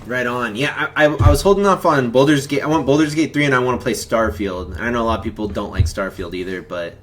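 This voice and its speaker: A man's voice: medium pitch, quick pace, fairly bright tone. A man hosting a live stream, chatting in a loose, laid-back and rambling way. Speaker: host of a movie commentary live stream. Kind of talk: casual chat to viewers and caller.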